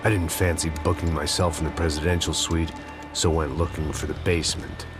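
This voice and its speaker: A man playing a weary, cynical middle-aged American ex-cop in a video game, speaking in a low, gruff, terse way. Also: little pitch movement, fairly quick, over music. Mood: grim, sardonic. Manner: world-weary, terse, hard-boiled. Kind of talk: video game cutscene dialogue and noir voice-over.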